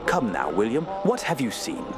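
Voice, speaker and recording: Animated, formal, inquisitive gentleman. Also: medium pitch, moderate pace. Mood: formal, probing. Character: animated gentleman questioning a scholarly colleague. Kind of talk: radio drama acting. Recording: music under the voice.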